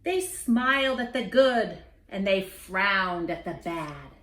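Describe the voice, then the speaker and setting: A woman reading a children's picture book aloud in an expressive, theatrical way, with dramatic pauses. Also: medium pitch, slight background noise. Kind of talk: children's story read aloud. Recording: roomy echo.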